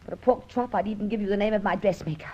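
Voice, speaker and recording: An actress playing a spirited, defiant young captive heiress in an old-time radio detective drama, pert and feisty. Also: female voice, medium pitch, rapid-fire, dark tone, lightly acted. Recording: muffled old radio recording.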